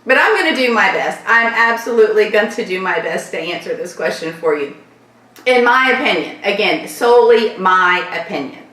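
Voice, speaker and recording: A woman from Florida with a light Southern accent, hosting a casual viewer Q&A vlog and rambling warmly through her answers. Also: medium pitch, lively intonation, normal speed, far from the mic. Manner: chatty, repeats thanks, loose rambling sentences. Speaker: channel host answering viewer questions. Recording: echoey room, voice a bit off-mic.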